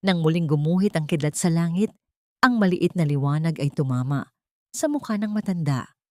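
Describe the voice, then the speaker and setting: A woman's voice: deep voice, normal speed, warm tone, no room sound, clean studio sound. A woman with a Filipino accent narrating a dramatic story from a script.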